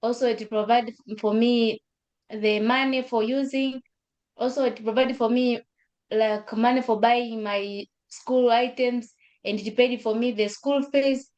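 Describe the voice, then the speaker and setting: A young woman from Africa, sharing her story on a webinar call in halting English with many fillers and repeated phrases. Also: on the high side, steady tone, a little mellow, dull audio. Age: young adult. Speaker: school graduate. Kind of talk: webinar testimony.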